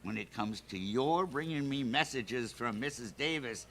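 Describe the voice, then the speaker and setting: A man's voice: medium pitch, expressive, normal speed, a bit bright, slight background noise. An actor playing a skeptical, stagey man in an old-time radio comedy.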